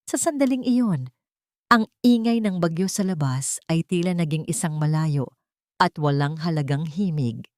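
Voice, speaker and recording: Filipino woman narrating, low-pitched and dry. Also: lively intonation, normal speed, darkish tone, no echo. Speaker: story narrator. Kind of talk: dramatic scripted romance narration.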